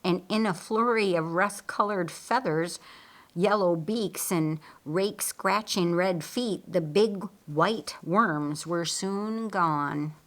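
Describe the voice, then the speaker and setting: A woman narrating a scripted story aloud in an expressive, deliberate way, as for an audiobook. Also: fairly low pitch.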